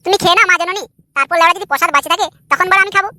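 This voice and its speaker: A man acts out a jealous, crude husband from a comedy cartoon. His voice is unnaturally high for a man and very fast, sneering and swearing sarcastically. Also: sharp tone, full character voice. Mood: sneering, accusing. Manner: foul-mouthed, sarcastic. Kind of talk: comic cartoon dialogue.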